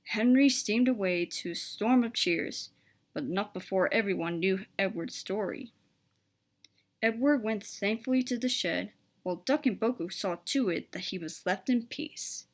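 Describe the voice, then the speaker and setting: A woman's voice: mid-range voice, unhurried. A woman reading a children's story aloud as a scripted narration.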